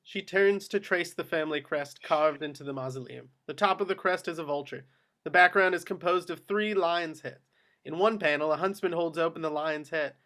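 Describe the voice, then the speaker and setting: A man's voice: fairly high pitch, moderate pace, a bit bright. A man narrating action descriptions from a script at a table read. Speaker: stage-direction narrator.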